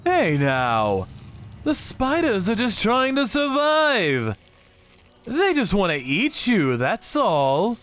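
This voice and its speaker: A man's voice: high voice, huge pitch swings, slow, a bit bright, pitched above the performer's own voice, full character voice. A man voicing a cold, mocking torturer in a cartoon story, drawing out his menacing taunts.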